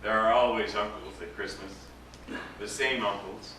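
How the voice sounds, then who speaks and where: A man, an actor, giving an expressive, dramatic reading of a literary story aloud. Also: fairly low pitch, normal speed. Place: indoor live reading to a gathering. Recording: echoey room, distant mic, background noise.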